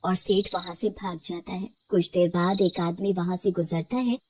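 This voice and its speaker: A woman's voice: lowish voice, fairly even intonation, normal speed, a little mellow, a little hiss or hum. A woman reading scripted horror story narration as the storyteller.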